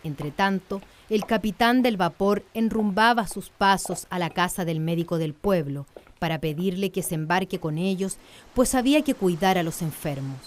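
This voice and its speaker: A woman's voice: fairly low pitch, normal speed, a little hiss or hum. A woman narrating a scripted radio drama in a solemn storytelling manner. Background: faint music.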